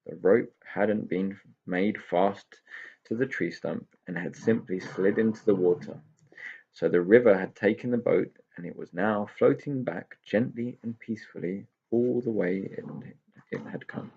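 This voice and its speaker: A man with a gravelly, husky voice reading a children's story expressively as a storyteller. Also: fairly low pitch, unhurried, dark tone, highs cut off.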